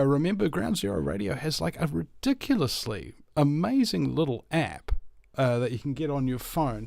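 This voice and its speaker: A man's voice: medium pitch, gravelly, a little mellow, dry recording. Solo talk host: man from New Zealand, mid-range voice, rambling jokey patter, dry studio sound. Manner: rambling, jokey, many fillers. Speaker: radio host. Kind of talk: solo talk radio monologue.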